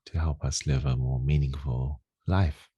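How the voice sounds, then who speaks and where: A man leading a guided meditation in a gentle, unhurried, soothing manner with long pauses. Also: very deep voice, steady tone, slightly rough, no echo, muffled recording. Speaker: meditation teacher.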